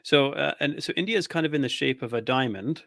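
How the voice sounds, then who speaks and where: A man's voice: on the high side, brisk, creaky, fairly bright tone, slight background noise. A man hosting a casual interview, asking curious, tentative questions and acknowledging the answers often. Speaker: podcast host.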